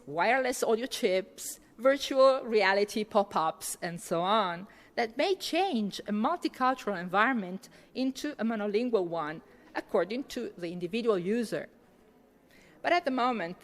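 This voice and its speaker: Woman, conference keynote, kinda halting with lots of ums, big reverby hall. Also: medium pitch. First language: Italian. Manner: hesitant, many fillers and restarts in answers. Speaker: keynote speaker. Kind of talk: academic lecture and Q&A answers. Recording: long reverb of a lecture hall.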